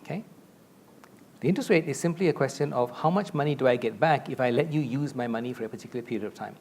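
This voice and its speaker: A man's voice: mid-range voice, fast talker, darkish tone, a little hiss or hum. A middle-aged man from Malaysia speaking as a panelist on a conference stage, explaining points at length through a lapel mic. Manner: expansive, explanatory, gestures a lot while talking. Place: large stage with a curtain backdrop.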